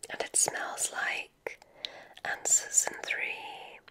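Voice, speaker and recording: A woman whispering softly in an ASMR roleplay as a kindly, formal witch examiner, giving gentle, soothing instructions. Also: extremely slow, low-quality recording. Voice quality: soft unvoiced whisper.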